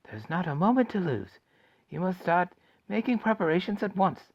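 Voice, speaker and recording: A man voicing an excitable ninety-six-year-old grandfather who shouts with joy in a high, cracking old man's voice. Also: creaky, no room sound, full character voice. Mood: eager. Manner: loud, drawn-out exclamations. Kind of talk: audiobook character voice. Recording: dull lo-fi recording.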